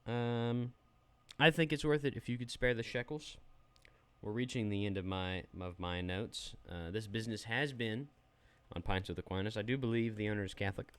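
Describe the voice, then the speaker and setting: A man's voice: on the low side, steady tone, moderate pace, clean tone. A young adult man giving a rambling, self-deprecating, unedited review of a phone app while sharing his screen.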